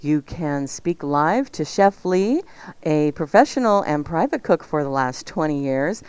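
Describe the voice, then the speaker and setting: A woman's voice: deep voice, expressive, measured pace, darkish tone, clear, resonant tone, no echo. A woman co-hosting a live radio call-in show, chatty with listeners. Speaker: radio co-host.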